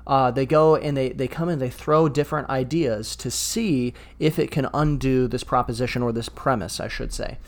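A man's voice: medium pitch, steady tone, moderate pace, few pauses. A man co-hosting a discussion podcast as a pastor, thinking aloud earnestly with frequent stammered restarts.

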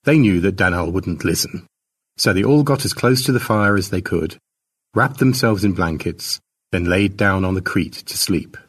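A man's voice: deep voice, normal speed, slightly rough, far from the mic. A man narrating his own short story as a scripted fiction reading.

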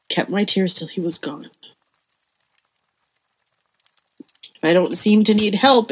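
A woman playing a gentle, devout, wise mother in an audio play, speaking in an earnest and measured way. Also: medium pitch, close to an everyday voice. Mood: wistful, steadfast. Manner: earnest, measured, tender counsel. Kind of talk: audio play acting of a period novel.

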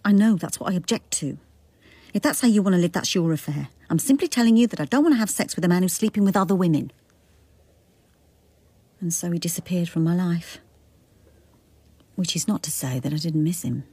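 An actress playing a frank, resolute detective inspector in a radio drama, reflective and plain-spoken, with an English accent. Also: female voice, lowish voice, brisk, pauses often, mellow, husky, dry recording. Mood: reflective, firm. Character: frank, resolute working-class-raised female detective inspector. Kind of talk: radio drama, intimate first-person monologue and dialogue.

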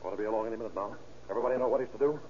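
A man playing a hard-boiled American robber boss in an old radio play. He fires off curt, slangy orders at a quick clip. The recording is muffled and noisy. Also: fairly low pitch, darkish tone, lightly acted. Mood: tense, commanding. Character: bossy, hard-boiled male mail robber giving orders. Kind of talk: 1930s radio acting.

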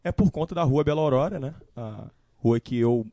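A man in his early twenties with a Carioca Portuguese accent, telling his story as a guest in a casual live-stream chat, relaxed and full of fillers. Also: medium pitch, normal speed, no echo, studio quality. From Rio de Janeiro, Brazil. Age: 20s. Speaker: guest musician.

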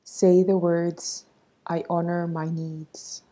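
Lady doing guided meditation, really slow and soothing, soft husky breathy voice. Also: fairly low pitch, level tone. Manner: soothing, measured, instructing.

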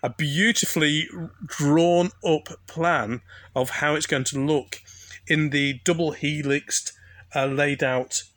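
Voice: medium pitch, steady tone, takes it slowly, fairly bright tone, no echo. A podcast host asking casual questions in an interview.

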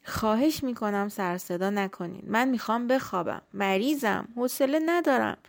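A tired old tree grumbles and pleads in a shaky, raised voice, as a woman puts it on. The tone carries a gravelly edge, and the sound is dry and close with light music underneath. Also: on the high side, no echo. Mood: pleading. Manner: pleading, trembling complaint. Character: grumpy weary old male tree, easily tired.

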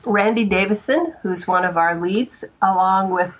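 A woman chatting casually as an interview guest, with halting phrasing and repeated words. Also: medium pitch, lo-fi sound. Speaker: guest, audio drama producer.

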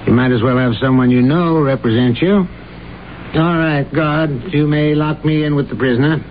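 An actor playing a sober, deliberate attorney questioning his client in a radio drama. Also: a little high, lightly acted. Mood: businesslike, calm. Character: sober, probing male attorney visiting a jailed client. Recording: tinny old radio broadcast, faint noise.

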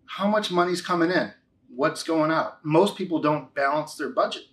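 A man's voice: a little high, normal speed, a bit bright, slight background noise. A man in his forties talking conversationally as an interview guest, giving a testimonial with emphatic, repeated key phrases. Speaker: interview guest, financial advisor.

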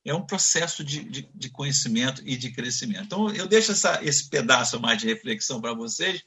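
A man's voice: mid-range voice, a little fast. A man in his fifties with a Carioca accent, telling his career story in an interview and acting out the voices in his anecdotes. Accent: Carioca Portuguese. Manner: animated, acts out voices in anecdotes. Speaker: guest.

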